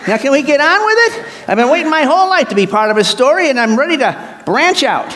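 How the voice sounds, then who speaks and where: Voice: sits high, big pitch swings, fast talker, fairly bright tone, in a large hall, a little hiss or hum. A performer voicing a vain, impatient, whiny young male vine branch in a comic children's story skit. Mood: cocky, impatient. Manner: cocky, impatient, exclaiming, easily offended.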